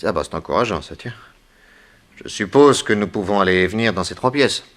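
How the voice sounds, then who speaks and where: An actor playing a bold, wry detective with a brisk commanding manner in a 1960s French radio serial. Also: male voice, on the low side, expressive, close to an everyday voice.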